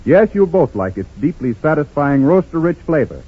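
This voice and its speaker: Animated 1930s radio announcer man. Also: a little high, normal speed, husky. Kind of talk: 1930s radio advertising pitch. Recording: tinny narrow-band 1930s radio recording.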